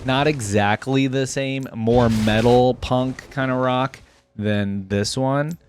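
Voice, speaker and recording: A man's voice: mid-range voice, fairly even intonation, slow pace, slight background noise. A man giving casual, off-the-cuff reaction commentary, like a video creator talking through what he sees.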